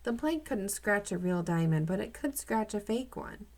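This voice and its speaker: A woman's voice: mid-range voice, expressive, moderate pace, darkish tone, pitched above the performer's own voice. A woman reading aloud as an earnest, curious boy detective in a children's storybook. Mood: earnest, thoughtful.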